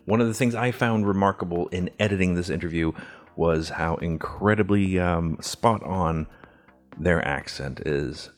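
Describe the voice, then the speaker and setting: A man's voice: low voice, unhurried, soft background music. A man whose first language is Swedish, co-hosting a casual podcast and talking with lots of fillers. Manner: playful, mock-official opening, many fillers. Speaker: podcast co-host.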